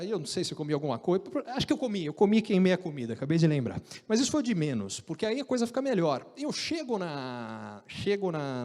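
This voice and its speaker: Lively Brazilian man telling stories. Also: sits high, long reverb tail. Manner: animated, joking, self-deprecating storyteller. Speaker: speaker sharing career experience. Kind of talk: casual storytelling talk.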